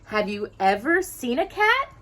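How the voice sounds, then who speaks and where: A woman's voice: high voice, sing-song, unhurried, a bit bright, voice pushed up, full character voice. A woman voicing a friendly little male mouse from a storybook, earnest and emphatic, drawing out his phrases. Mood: warning.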